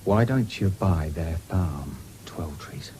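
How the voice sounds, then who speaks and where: An actor playing an earnest young farm lad in a British radio drama, halting but determined. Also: male voice, low-pitched, animated, mellow. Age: young adult. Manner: hesitant, stumbling over words. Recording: noisy old radio broadcast recording.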